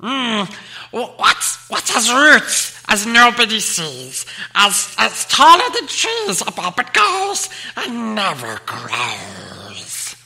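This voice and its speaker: A man voicing a sly, hungry cave creature that hisses and wheedles in drawn-out words, muttering to himself as he reads a storybook. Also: huge pitch swings, creaky, bright, forceful tone, faint music behind, exaggerated voice. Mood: sly, bargaining. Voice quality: hissing, whispery, strained high voice.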